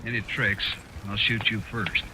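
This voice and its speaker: An actor playing a rough, impatient, suspicious frontiersman in a radio western, with gruff folksy bluster. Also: on the low side, little pitch movement, a little slow, lightly acted. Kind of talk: 1950s radio western acting. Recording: noisy old-time radio recording.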